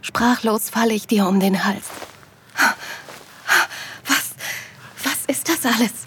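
A woman playing a loving, happy wife, narrating an intimate audio drama softly and breathily, often near a whisper. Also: a little high, slow pace, slight background noise. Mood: amazed. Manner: soft, breathy delivery, often dropping to a whisper. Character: loving wife, happily moved, narrating her anniversary trip tenderly.

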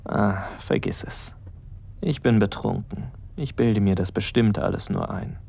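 A man's voice: lowish voice, unhurried, gravelly, a little mellow, husky, heavily acted. An actor playing a smitten young male best friend, narrating an intimate audio drama in the first person, musing and addressing the listener as you. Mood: tipsy, flustered.

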